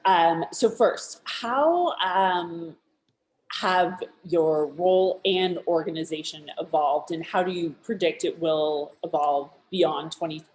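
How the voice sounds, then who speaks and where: A woman moderates a discussion as host, putting questions to her guests. Her voice is quite low and her delivery unhurried and slow, with clear room echo around it. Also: a little mellow, a little hiss or hum. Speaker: webinar host. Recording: echoey room.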